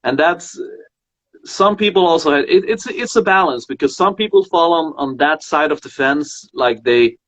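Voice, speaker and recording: A man hosting a casual conversation about singing, a voice teacher who talks haltingly with many fillers and restarts. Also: fairly high pitch, expressive, a bit bright. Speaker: host and voice teacher. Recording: muffled lo-fi sound.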